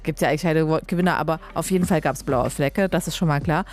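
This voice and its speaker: A woman's voice: low voice, quick pace, darkish tone. A woman from Leipzig, Germany, hosting a radio music show in a casual, chatty, colloquial way. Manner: chatty, colloquial, trailing tag questions. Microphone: close studio radio microphone.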